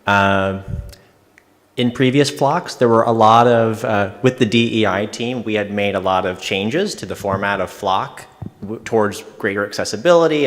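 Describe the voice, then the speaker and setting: Low-voiced man from Georgia, hesitant, hall reverb. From Atlanta, Georgia, US. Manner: hesitant, restarting phrases. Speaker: conference attendee. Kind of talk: casual reflection in a group share. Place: conference hall.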